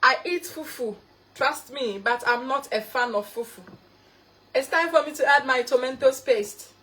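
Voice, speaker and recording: A woman's voice: high-pitched, moderate pace, pushed and bright, slight background noise, rough recording. A young woman from Ghana with a Ghanaian accent, hosting a live cooking stream and greeting viewers by name with repeated thanks. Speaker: cooking livestreamer.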